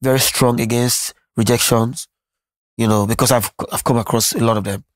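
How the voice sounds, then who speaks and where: A man's voice: medium pitch, fairly even intonation, moderate pace, no echo. A Nigerian man whose first language is Yoruba, talking earnestly and reflectively as an interview guest, often restarting his sentences. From Nigeria (Lagos). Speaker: musician guest. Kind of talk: candid interview conversation.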